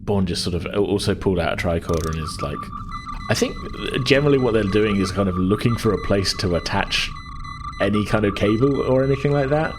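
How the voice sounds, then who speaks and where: A man's voice: lowish voice, animated, fairly quick, noisy background. A man working as game master, narrating a tabletop sci-fi adventure in a hesitant, conversational, descriptive way.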